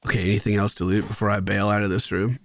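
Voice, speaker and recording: A man's voice: on the low side, level tone, fast, slight background noise. A man streaming a video game with casual, chatty commentary, explaining things with playful slang.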